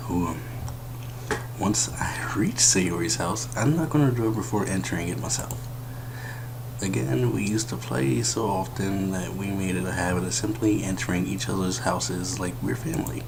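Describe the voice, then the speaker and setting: A young man with a deep voice quietly hosting a let's play, commenting and reading the game text aloud in a subdued way. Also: gravelly, background noise. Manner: subdued, keeping quiet late at night. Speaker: gaming channel host. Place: at home, indoors at night. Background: game music.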